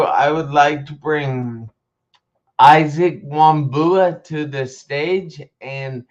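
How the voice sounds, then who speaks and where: A man's voice: on the high side, dull audio. A man hosting a live online talk show, talking in an upbeat, enthusiastic way. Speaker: host.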